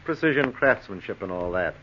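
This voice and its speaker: A sly, self-assured American crook near sixty lays out his thoughts suavely. His low voice comes through the dull, faintly hissy sound of vintage radio drama. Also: lively intonation, a bit bright. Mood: smug, genial. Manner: suave, sly, unhurried explaining. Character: smooth-talking scheming male blackmailer, near sixty, self-assured. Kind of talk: 1940s radio drama acting.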